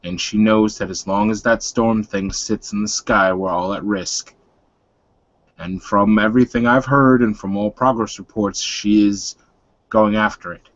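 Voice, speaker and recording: A man roleplaying a blunt, solemn werewolf mentor in a tabletop game, speaking frankly and with measured words. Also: fairly low pitch, fairly even intonation, dry recording, lightly acted. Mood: stern, worried. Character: grave, blunt male werewolf mentor, clove smoker. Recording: dull, dry lo-fi voice recording.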